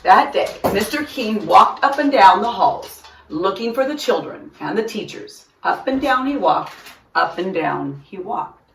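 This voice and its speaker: Female storytime reader, very lively intonation, emphatic, mid pitch, roomy and slightly distant mic. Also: unhurried, a little hiss or hum. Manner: animated, emphatic. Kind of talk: expressive read-aloud of a children's story. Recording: roomy echo, distant microphone.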